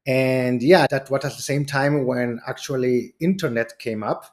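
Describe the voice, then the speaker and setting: Man with halting English. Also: medium pitch, fairly even intonation. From Chile and Germany. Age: 40s. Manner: non-native English, halting restarts, chuckles often. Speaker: guest, venture capitalist. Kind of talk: casual interview talk.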